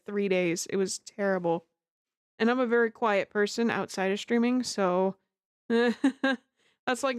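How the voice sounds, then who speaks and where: A woman's voice: mid-range voice, clean tone, no room sound, studio quality. Giggly woman streamer chatting casually. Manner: giggly, rambling, self-deprecating. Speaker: livestreamer, amateur voice actor. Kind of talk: casual chat while livestreaming a game. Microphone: close-up microphone. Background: music.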